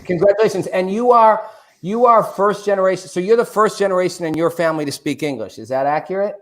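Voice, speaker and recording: A middle-aged man hosting a live online show from a home studio, chatty and animated, with loose fillers. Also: high voice, fast. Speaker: host. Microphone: desk studio mic.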